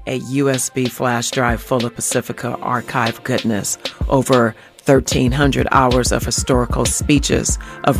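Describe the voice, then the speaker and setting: A woman working as a radio news reporter and host, delivering reports and fund-drive pitches with emphatic, drawn-out phrasing.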